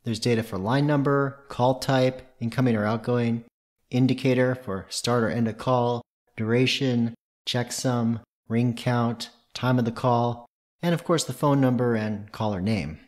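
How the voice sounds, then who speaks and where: A man's voice: mid-range voice, steady tone, measured pace, a little mellow. A man presenting a tutorial, reading scripted technical narration in a methodical, matter-of-fact way.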